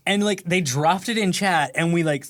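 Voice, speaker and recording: A man's voice: high-pitched, normal speed, a bit bright, no echo, clean studio sound. A man co-hosting a podcast in casual chat, acting out his own thoughts as he tells stories. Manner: chatty, acts out his own thoughts in quotes. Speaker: podcast co-host.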